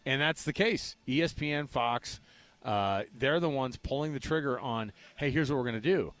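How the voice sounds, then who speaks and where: An American man co-hosting a sports radio show in casual talk, animated and repeating phrases for emphasis, often with rhetorical questions. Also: medium pitch, normal speed, no room sound.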